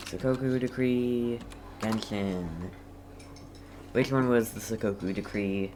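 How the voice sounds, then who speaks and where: A woman's voice: extremely low pitch, takes it slowly. A woman streaming a game with casual commentary, muttering to herself and reacting briefly, with background noise.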